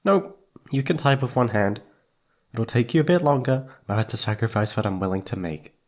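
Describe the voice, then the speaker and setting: A man's voice: mid-range voice, fairly quick, close to an everyday voice. A man playing a needy, playful young boyfriend in intimate ASMR roleplay, pouty and sweet, stammering when flustered.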